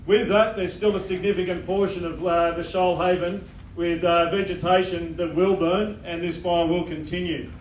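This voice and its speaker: Loud-voiced Australian man briefing a hall. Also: high voice, steady tone, far from the mic, a little hiss or hum. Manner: loud, plain-spoken, many "uh" pauses. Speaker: fire incident controller. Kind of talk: public briefing at a community meeting. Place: bowling club function hall. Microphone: microphone addressing a room.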